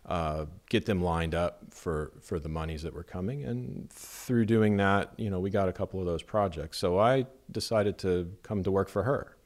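A middle-aged man from Las Vegas, an architect, talking in a measured, thoughtful way with many fillers in an interview. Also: low-pitched, a little mellow. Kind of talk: relaxed conversational interview.

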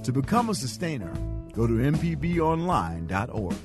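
A man's voice: medium pitch, very animated, normal speed. A man reading a scripted radio station promo as the announcer. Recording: announcement over loud music.

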